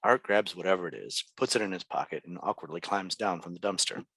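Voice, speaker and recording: A man's voice: low voice, fast talker, sharp tone, no echo. A man reading stage directions as scripted narration at a table read.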